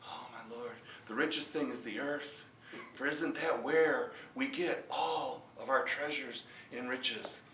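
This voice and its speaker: A man voicing a timid peasant farmer who speaks softly and stammers nervously, recorded in a roomy space from a distance. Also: medium pitch, measured pace, slight background noise, close to an everyday voice. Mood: humble. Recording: roomy echo, distant mic.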